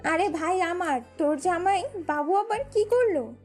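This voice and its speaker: A woman's voice: extremely high pitch, unhurried, darkish tone, soft background music, voice pushed up. A woman voicing a chatty, blunt young married sister in an audio story, gushing and cheeky.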